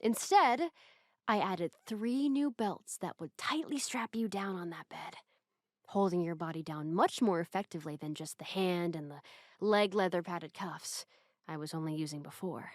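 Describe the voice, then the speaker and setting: A woman voicing an obsessive, sweetly doting yet menacing captor in an ASMR roleplay, soft and breathy, often slipping toward a whisper with tender, coaxing hesitations. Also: fairly low pitch, normal speed, no echo, clean studio sound, close to an everyday voice. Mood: sweet, controlling.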